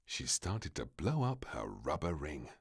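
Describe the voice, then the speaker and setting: Casting: male children's story narrator, unhurried and dramatic, hugely animated pitch swings. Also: creaky, slightly rough. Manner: expressive, dramatic. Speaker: storybook reader.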